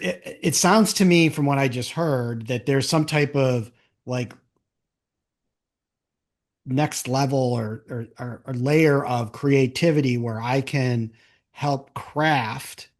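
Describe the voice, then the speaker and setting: A man with a medium-pitched voice hosts a casual interview. He speaks informally and thinks aloud as he steers the conversation. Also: slow. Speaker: interview host.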